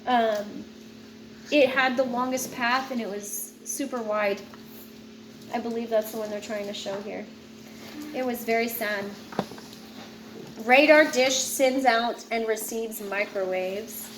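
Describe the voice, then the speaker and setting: A woman's voice: fairly high pitch, fairly even intonation, slow pace, long pauses, a little hiss or hum, rough recording. A woman reading a nonfiction book aloud, informally, with casual asides and stumbles she corrects herself. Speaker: read-aloud presenter.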